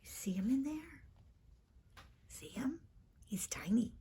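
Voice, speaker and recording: A woman's voice: a little high, animated, slow, a little hiss or hum. A woman storyteller reading a children's book expressively, in a theatrical manner. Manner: theatrical, with hushed asides to the listener.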